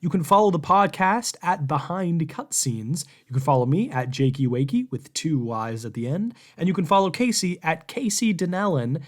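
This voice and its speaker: A man's voice: a little high, lively intonation, moderate pace, few pauses. A man hosting a podcast in a casual, conversational style, talking loosely and informally. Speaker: podcast host and game reviewer. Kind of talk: casual podcast interview chat.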